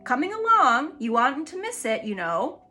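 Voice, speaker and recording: Woman reading enormous storybook lion, eager, faintly menacing, loudish and higher. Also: sing-song, normal speed, faint music behind. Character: enormous male lion, hurried, slightly menacing.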